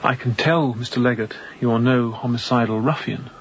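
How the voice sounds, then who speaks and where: A man acts out a young, thoughtful sea captain for a radio drama. His mid-pitched voice has a creaky edge, and his phrasing is formal and measured, with a kindly, introspective temper. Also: muffled recording, natural voice. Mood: calm, sympathetic. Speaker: actor in audio drama. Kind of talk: radio drama acting with reflective monologue.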